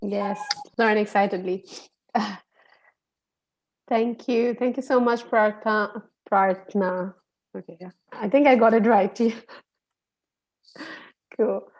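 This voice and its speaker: A woman's voice: mid-range voice, steady tone, slow, pauses often, slight background noise. A woman from Bangladesh hosting introductions on a video call, friendly and chatty with frequent laughs. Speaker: online program facilitator.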